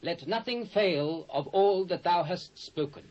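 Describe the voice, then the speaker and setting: A man's voice: high voice, a little slow, a little mellow. A British man playing a commanding king of an ancient empire in a scripture audio drama, speaking regally. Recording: dull cassette audio with faint noise.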